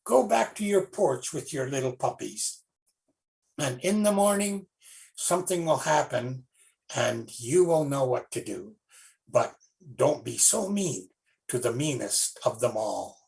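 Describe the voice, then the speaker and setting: A man voicing a harsh, stern older brother who speaks slowly and commandingly. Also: mid-range voice, animated, gravelly, darkish tone. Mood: solemn. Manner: slow, drawn-out, commanding.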